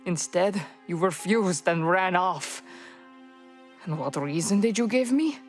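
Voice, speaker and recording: A woman's voice: fairly low pitch, lively intonation, slow, soft background music. A woman playing a vengeful, bitter revolutionary in a video game, delivered dramatically and indignantly. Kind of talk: video game cutscene acting.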